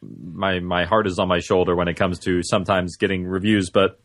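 Low-voiced man explaining his craft, close and dry. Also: little pitch movement, moderate pace, no room sound. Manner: thinks aloud, illustrates with imagined quotes. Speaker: guest, audio-drama writer and producer. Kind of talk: conversational interview, explaining craft.

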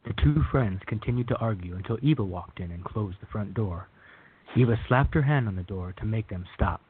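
A man's voice: fairly low pitch, expressive, fairly quick, a little hiss or hum. A man reading scripted third-person narration for a radio drama. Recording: thin, phone-like band-limited audio.

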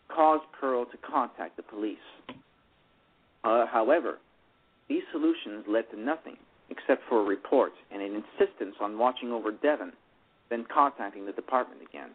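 A husky man reading a radio play aloud who stumbles over his words and often starts phrases again. His pitch moves a lot, and he comes through a thin phone line. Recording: tinny phone-line sound.

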